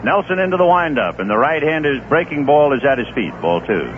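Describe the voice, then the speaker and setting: A man doing radio play-by-play of a baseball game, easygoing and folksy, with chatty asides between pitches. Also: big pitch swings, brisk. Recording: tinny old AM radio broadcast with faint hiss.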